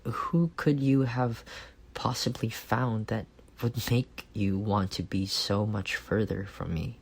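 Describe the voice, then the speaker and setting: A man acting a reserved, analytical young man in an intimate ASMR romance roleplay, speaking softly and deliberately with some hesitation. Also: fairly low pitch, fairly even intonation, vocal fry, breathy edge, full character voice. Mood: subdued, pensive. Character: reserved, analytical young man confessing love to best friend.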